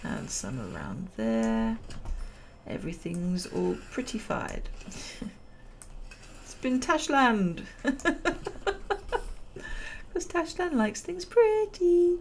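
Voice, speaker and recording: Woman streamer, slow and chatty, gravelly voice going hoarse, laughs at her own jokes. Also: sits high, sing-song, darkish tone, slight background noise. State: losing her voice. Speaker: gameplay commentator. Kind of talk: relaxed let's-play commentary.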